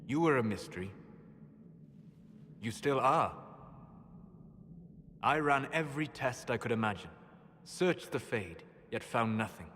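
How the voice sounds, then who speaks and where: An actor voicing a reserved elven mage in a game, speaking in measured, formal phrases. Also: male voice, medium pitch, lively intonation, a bit bright. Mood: reflective. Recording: game audio with clear background noise.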